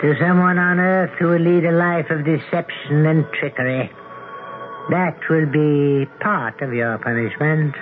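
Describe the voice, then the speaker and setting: A man's voice: on the high side, fairly even intonation, unhurried, close to an everyday voice. A man playing a stern supreme god passing judgment, solemn, formal and imperious, in a 1970s radio drama. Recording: narrowband old radio with music behind.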